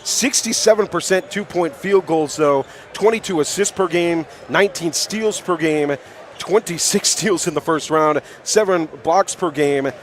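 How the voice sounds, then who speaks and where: A man's voice: a little high, unhurried. An American man hosting pregame basketball commentary on radio, emphatically rattling off statistics. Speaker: sports radio play-by-play host.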